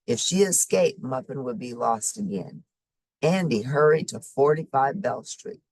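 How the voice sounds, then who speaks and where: A woman reading a children's story expressively, with simple, deliberate phrasing for young listeners. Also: very deep voice, animated, darkish tone, dry recording, low-quality recording. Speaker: storybook reader.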